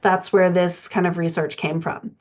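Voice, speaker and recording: A dull, lo-fi recording of a woman with a fairly low voice. She teaches like a podcast coach, explaining things step by step in a conversational, informal way. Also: steady tone, moderate pace. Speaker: writing coach, podcast presenter. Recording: dull lo-fi audio.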